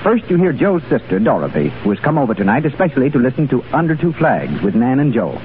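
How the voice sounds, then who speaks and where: A man's voice: mid-range voice, sing-song, fast. A man announcing in a scripted 1930s radio sponsor style. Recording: tinny 1937 radio broadcast, faint noise.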